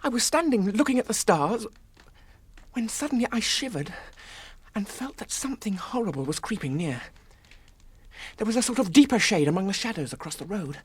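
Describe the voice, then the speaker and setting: An actor playing an earnest young halfling adventurer in a radio drama, anxious, stammering and shaken. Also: male voice, high-pitched, lively intonation, moderate pace, husky. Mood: shaken, haunted.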